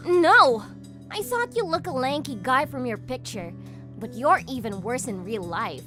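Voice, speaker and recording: Woman doing snooty rich girl, manga dub, real high n swingy voice, sharp tongue, music under. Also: lively intonation, normal speed. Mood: scornful. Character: haughty, hostile young rich heiress. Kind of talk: anime-style manga dub acting.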